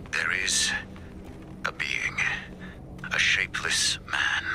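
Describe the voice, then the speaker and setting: An actor playing a grave, secretive scientist of the stars in a video game, speaking in a solemn, halting, formal way with a hushed, breathy voice. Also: male voice, fairly low pitch. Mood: ominous, confiding. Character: grave, secretive cosmic scientist serving a champion. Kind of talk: video game audio log acting.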